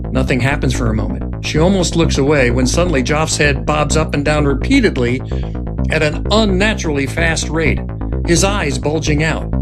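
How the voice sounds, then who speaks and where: A man hosting a script reading as writer-director, narrating in a deliberate, suspenseful storytelling manner. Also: a little high. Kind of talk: dramatic narration of screenplay action, then discussion. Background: loud instrumental music.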